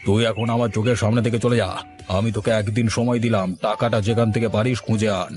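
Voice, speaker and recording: A man voicing a stern, commanding village man in a cartoon, threatening and brisk. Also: lowish voice, flat delivery, darkish tone, faint music behind, natural voice. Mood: angry. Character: stern bossy village man, middle-aged. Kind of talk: Bengali cartoon voice acting.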